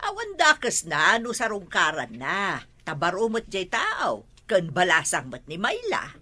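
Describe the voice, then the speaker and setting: A woman's voice: on the low side, wildly swooping pitch, a little slow, pushed and bright. A middle-aged woman with an Ilocano accent, playing a mother in a comedy drama, scolding and advising.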